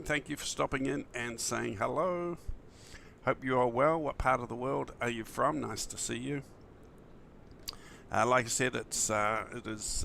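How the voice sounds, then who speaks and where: A man talks casually to his livestream, friendly and enthusiastic in a loose, rambling way. His voice is bright but croaky and creaky from the early morning, with some background noise under it. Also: mid-range voice. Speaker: flight simulator streamer.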